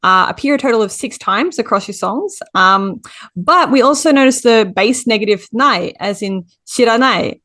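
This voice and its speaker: A woman's voice: medium pitch, a bit bright, dry recording. A female podcast co-host asking a guest analytical questions, careful and full of small fillers. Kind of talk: interview questions.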